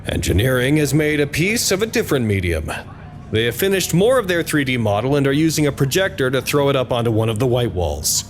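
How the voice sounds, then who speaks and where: An actor playing an anxious, weary researcher who narrates a log in a measured, wry way for an audio drama. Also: male voice, medium pitch, big pitch swings, noisy background, lightly acted. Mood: calmer, wry.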